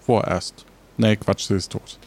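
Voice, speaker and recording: A man's voice: fairly low pitch, steady tone, unhurried, darkish tone. A man acting as game master, improvising the narration of an audio adventure game and answering a player.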